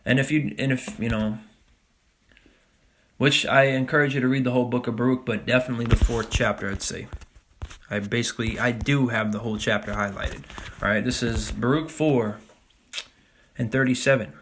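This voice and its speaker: A man teaching a Bible study, talking casually with frequent "all right?" and reading scripture passages slowly and deliberately. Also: mid-range voice, steady tone, fairly bright tone, a little hiss or hum. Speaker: religious teacher.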